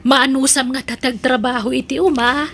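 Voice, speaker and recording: A woman's voice: high-pitched, fairly even intonation, normal speed, a bit bright, a little hiss or hum. A woman playing a welcoming, motherly middle-aged farm aunt in a radio drama, with an Ilocano accent. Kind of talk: Filipino radio drama acting.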